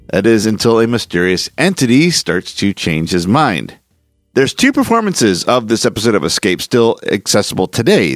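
A man's voice: fairly low pitch, no room sound. A man hosting a podcast, reading a scripted introduction. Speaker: podcast host.

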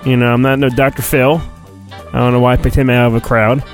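A man's voice: medium pitch, little pitch movement, fast, faint music behind. A man hosting a podcast, casual and self-deprecating, reading a children's storybook aloud in a playful mock-dramatic way. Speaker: podcast host.

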